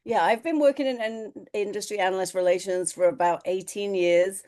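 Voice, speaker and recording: A woman speaking as an analyst relations consultant on a webinar panel, brisk and matter-of-fact. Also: mid-range voice, fairly even intonation, dark tone. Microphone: video call.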